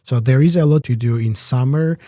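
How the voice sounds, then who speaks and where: A man giving measured, reflective interview answers, pausing often with "uh" between phrases. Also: mid-range voice, mellow, no room sound, studio quality. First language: Georgian. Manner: measured, many "uh" pauses. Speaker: interview guest, researcher.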